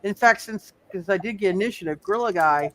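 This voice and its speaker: Guy game mastering a tabletop rpg, high voice, casual, keeps stopping and repeating himself. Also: a bit bright, a little hiss or hum. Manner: halting, repeats phrases as he goes.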